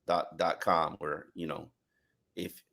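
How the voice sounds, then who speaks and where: A man's voice: a bass voice, level tone, takes it slowly, vocal fry, pushed and bright. A man hosting a casual sports podcast, breaking down the team in an analytical, emphatic way. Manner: analytical, emphatic, plugs the show. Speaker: podcast host.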